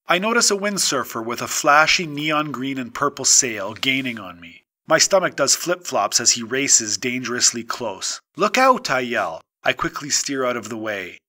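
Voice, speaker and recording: A man reading a short story aloud as an audiobook narrator, with a measured, dramatic delivery and pauses. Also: medium pitch, pushed and bright.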